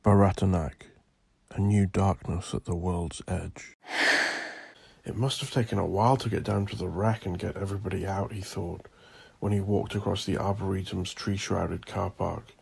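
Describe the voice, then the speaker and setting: Gravelly, low-voiced man narrating horror. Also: fairly even intonation, dark tone. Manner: ominous, theatrical, deliberate. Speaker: audio drama narrator and host. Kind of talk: dramatic horror fiction narration.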